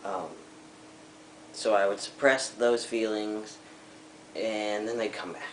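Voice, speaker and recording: A young man telling his personal story to a webcam at home, candid and reflective, pausing often with ums. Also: on the low side, steady tone, reverberant, slight background noise, rough recording. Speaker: vlogger.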